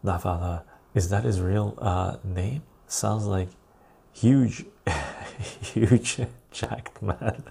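A casual livestream host whose first language is Armenian, chatting with viewers and reading their comments aloud. His voice is dark and mellow, with a husky, gravelly grain. He rambles into asides and chuckles often. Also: fairly low pitch, fairly even intonation, extremely slow. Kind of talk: casual live-stream chat.

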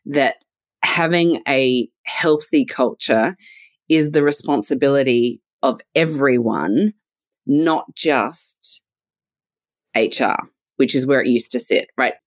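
A woman's voice: a bass voice, no room sound. A woman in her forties with an Australian accent, speaking as a podcast guest in a thoughtful, conversational way, laughing easily. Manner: warm, laughs readily, thoughtful with "you know" fillers. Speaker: guest, leadership and culture consultant.

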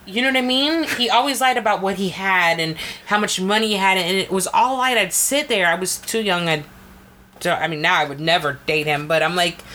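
Blunt, bright-voiced woman co-host. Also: mid-range voice. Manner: blunt and outspoken, swears casually. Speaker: podcast co-host. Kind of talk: casual chat.

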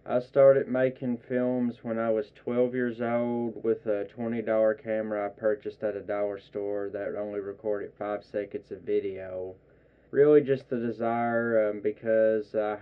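Halting young man, flat low voice. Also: smooth. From eastern Kentucky. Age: 20s. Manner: halting, many uh fillers, earnest. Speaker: student filmmaker guest. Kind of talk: radio interview. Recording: narrow phone-like sound.

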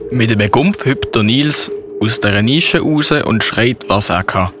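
A man's voice: medium pitch, lively intonation, a little slow, background noise. A man narrating a scripted Christmas radio play as a storyteller. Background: music.

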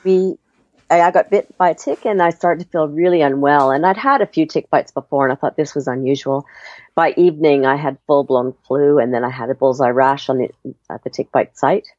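Guest, woman from Canada, accent blended with Australian, chatty and lively, muffled dry call audio. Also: low voice, fairly quick, no echo. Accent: Canadian with Australian tinge. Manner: chatty, lively storytelling with fillers. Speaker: interview guest. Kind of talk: casual conversational interview.

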